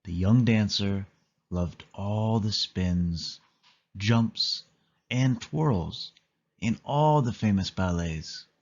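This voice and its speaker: A man with a low, raspy voice narrates a children's storybook in a scripted read-along style. The recording is slightly dull and lo-fi. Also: very slow, a little mellow.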